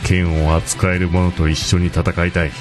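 A man's voice: deep voice, little pitch movement, measured pace, over loud music. A man playing a solemn sorcerer master in a fantasy audio drama. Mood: grave resolve. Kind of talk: audio drama trailer acting.

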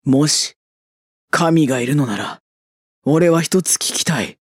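A man's voice: a little high, expressive, takes it slowly, slightly rough, dry recording, studio quality, exaggerated voice. Man acting an anguished, defiant young man. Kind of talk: dramatic audio drama acting. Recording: voice over loud dramatic music.

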